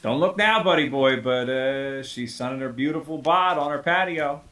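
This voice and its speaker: A man's voice: mid-range voice, normal speed, a bit bright, natural voice. A man playing a leering, boisterous thirty-year-old suburban neighbor in a screenplay table read, with a jokey, exclamatory delivery. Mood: sly, leering.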